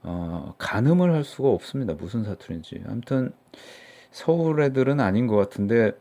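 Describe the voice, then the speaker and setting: A man's voice: mid-range voice, expressive, moderate pace, creaky, warm tone. A middle-aged man from Seoul giving casual, mocking political commentary for his own channel, rambling with many fillers. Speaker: political YouTuber.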